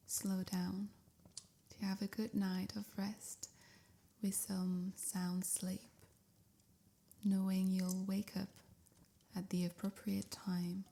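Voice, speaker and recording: A woman softly guiding a sleep meditation in a breathy near-whisper, with soothing, measured phrasing. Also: medium pitch. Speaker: meditation guide. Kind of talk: guided sleep meditation, scripted.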